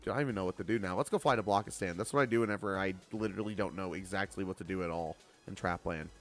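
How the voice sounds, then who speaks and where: A man's voice: low voice, very fast, faint music behind. A man of twenty-seven chatting casually on a game livestream, bantering playfully and swearing freely. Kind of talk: casual livestream chat while gaming.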